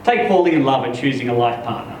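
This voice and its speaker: A man giving an expressive live reading of a first-person literary story, acting out the dialogue as he goes. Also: medium pitch, fast. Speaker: story reader. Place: live reading event in an echoey room. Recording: echoey room, distant mic, noisy.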